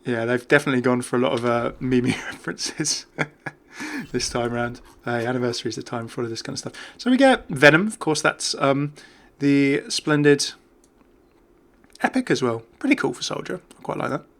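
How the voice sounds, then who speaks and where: A man hosts a gaming video with casual, chatty commentary. His mid-pitched voice has a jokey, conversational delivery. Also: animated, normal speed.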